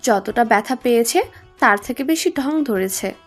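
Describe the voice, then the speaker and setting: A woman voicing a sharp-tongued, complaining young woman in an audio story, with a pointed, argumentative manner. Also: fairly high pitch, lively intonation, moderate pace, soft background music. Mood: snide.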